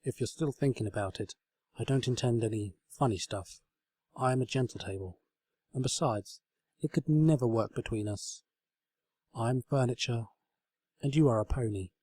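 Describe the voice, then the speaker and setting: A man voicing a gentle, shy talking bedside table from a comic storybook, earnest, courtly and wistful in a formal way. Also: mid-range voice, moderate pace, pauses often, slightly rough, no echo, lightly acted. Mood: tender, wistful. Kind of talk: comedic storybook reading.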